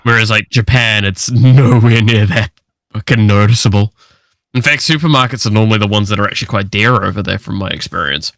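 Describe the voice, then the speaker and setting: Bright-voiced young New man, sweary. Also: lowish voice, fairly quick, dry recording. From New Zealand. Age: 20s. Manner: sweary, slangy. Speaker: streamer. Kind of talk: casual livestream chat.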